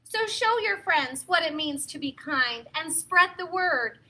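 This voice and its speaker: Bright, high-voiced woman hosting children's story time. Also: unhurried, rough recording. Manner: encouraging, expressive, simple phrasing for small children. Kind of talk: read-aloud and talk to young children.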